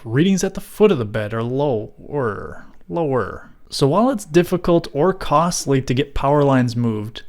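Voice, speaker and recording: A middle-aged man narrating a scripted explainer in measured, formal wording with dry, wry asides. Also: medium pitch. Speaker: video creator and narrator.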